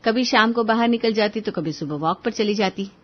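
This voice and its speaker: A woman's voice: medium pitch, a little fast. A woman storyteller delivering scripted narration for a Hindi moral story. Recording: dull, lo-fi audio.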